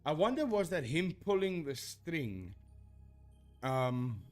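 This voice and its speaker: Animated man rambling on stream. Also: on the high side, slow pace, soft background music. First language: Afrikaans. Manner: rambling, self-correcting, animated. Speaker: streamer debunking ghost videos. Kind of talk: casual live-stream commentary.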